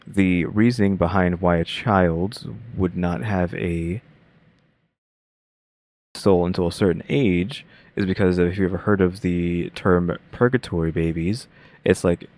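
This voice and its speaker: A man's voice: low voice, a little mellow. A man casually commenting on a video he is reacting to, rambling with many fillers and thinking aloud. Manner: rambling, many fillers, pace jumps between slow and rushed.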